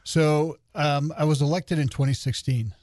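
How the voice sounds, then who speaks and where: Male radio guest, 50s, ex-military, dark mellow tone, dry studio sound, relaxed and halting. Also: fairly high pitch, no room sound. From New Hampshire. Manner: conversational, many uh fillers, retired officer's plain delivery. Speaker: county commissioner, guest. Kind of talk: radio interview, telling his life story.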